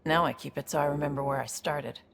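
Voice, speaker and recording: An actress playing a tough, streetwise woman in a video game, blunt and reflective, with a gravelly voice. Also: low voice, fairly even intonation, fast, noisy background. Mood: reflective, hopeful. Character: tough, streetwise woman seeking a fresh, honest start.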